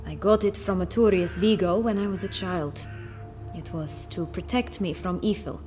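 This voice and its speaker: A woman playing a haughty, cynical noblewoman with old wounds in a fantasy video game, measured and sardonic with a theatrical touch. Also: on the low side, mellow, soft background music, natural voice. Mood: guarded.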